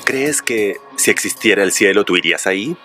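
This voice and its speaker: A man's voice: on the low side, normal speed, bright, forceful tone, faint music behind. A man narrating an evangelism video in an earnest preaching tone and interviewing people on the street.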